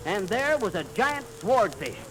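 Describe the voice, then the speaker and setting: Folksy, swooping old-radio American braggart. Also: male voice, sits high, sing-song, measured pace, a bit bright, full character voice. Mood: dramatic, swaggering. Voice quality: folksy, colloquial diction. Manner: bragging, theatrical storytelling. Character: boastful, folksy male teller of tall fishing tales. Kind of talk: 1930s radio comedy acting. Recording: noisy vintage 1930s radio recording.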